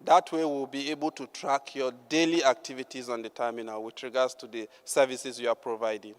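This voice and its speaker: Startup presenter answering judges, measured, smooth clear voice, hall reverb. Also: male voice, mid-range voice, flat delivery. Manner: measured, with many fillers. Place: large hall.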